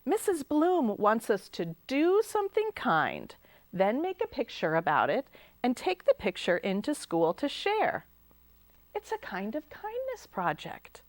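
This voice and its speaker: A woman voicing an eager young schoolgirl in a storybook, speaking brightly. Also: on the high side, wildly swooping pitch, unhurried, dry recording. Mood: excited.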